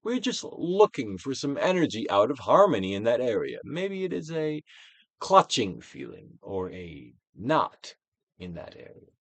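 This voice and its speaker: A man giving a dramatic, deliberate reading of a self-help book as a guided exercise, with pauses and big swells. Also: mid-range voice, wildly swooping pitch, creaky, no room sound. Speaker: audiobook reader and actor.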